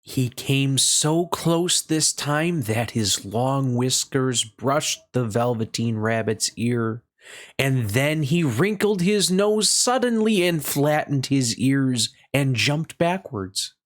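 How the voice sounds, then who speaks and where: A storybook reader narrating a children's tale aloud in an expressive read-along style. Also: medium pitch, takes it slowly.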